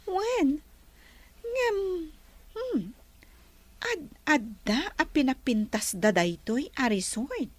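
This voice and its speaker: A woman's voice: high-pitched, very animated, drawn-out words, long pauses, no echo, heavily acted. A woman playing a bossy, haughty young mistress of a mansion in an Ilocano radio drama, with an Ilocano Filipino accent. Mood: haughty, chatty.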